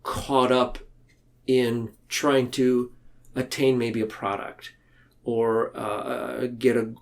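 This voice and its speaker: A man speaking as a podcast guest in a reflective, conversational way, earnest and halting, tending to ramble. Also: mid-range voice, little pitch movement, gravelly. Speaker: podcast guest, mental health counselor. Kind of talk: reflective conversational interview talk.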